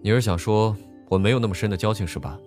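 A man's voice: fairly low pitch, quick pace, a little mellow, natural voice. A man playing a bookish young student loner in an audio drama, speaking matter-of-factly. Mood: flat, resigned. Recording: music under the voice.

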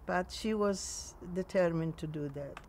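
A woman in her nineties with a Polish accent, recounting memories in a halting way in a sunny garden. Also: lowish voice, darkish tone, a little hiss or hum. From Poland. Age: 90s. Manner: halting, with frequent pauses and restarts. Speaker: interviewee.

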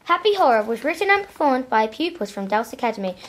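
Female announcer, higher-pitched voice, for scripted radio drama credits. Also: very animated, fast, heavy background noise.

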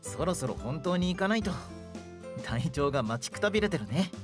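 A man's voice: a little high, very animated, a little fast, over music. A man playing a composed, polite youth in a radio drama, wryly exasperated by a doting friend. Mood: mildly exasperated. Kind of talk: Japanese anime-style radio drama acting.

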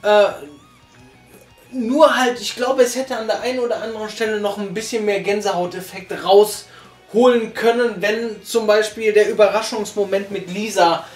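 A man's voice: high voice, fairly even intonation, moderate pace. A young man reviewing an audio drama, speaking casually from a sofa in a small living room, rambling with many fillers and restarts, picked up by a distant camera. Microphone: far camera. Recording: roomy room sound, faint music.

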